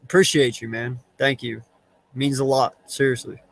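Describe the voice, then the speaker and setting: A man's voice: mid-range voice, level tone, moderate pace, vocal fry. A man hosting a casual late-night fan stream, laid-back and earnest, swearing casually. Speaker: stream host. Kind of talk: casual chat.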